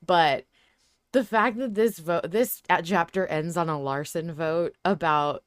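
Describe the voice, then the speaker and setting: A woman's voice: lowish voice, measured pace, clear, resonant tone, no echo, studio quality. A woman hosting a podcast in a casual, enthusiastic conversational style. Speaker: podcast host. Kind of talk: casual podcast discussion with an introduction.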